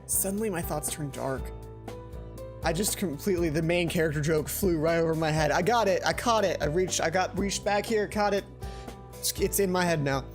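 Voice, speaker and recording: A young adult man reading a visual novel aloud as a let's play host, expressive, often correcting himself and dropping in casual commentary. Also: a little high, moderate pace. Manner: expressive, self-correcting reader. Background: game music.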